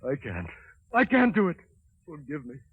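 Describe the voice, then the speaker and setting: A man's voice: sits high, wildly swooping pitch, moderate pace, gravelly, breathy edge, dry recording, exaggerated voice. An actor playing a grave, dutiful royal huntsman with a troubled conscience, in 1930s radio drama style. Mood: anguished urgency. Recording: muffled 1930s radio recording with music.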